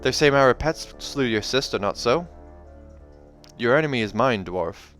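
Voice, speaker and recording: A man's voice: medium pitch, lively intonation, measured pace, a bit bright, faint music behind. A man voicing a fierce, guarded young huntress in a fantasy storybook, curt and cool, with a put-on accent. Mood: cold.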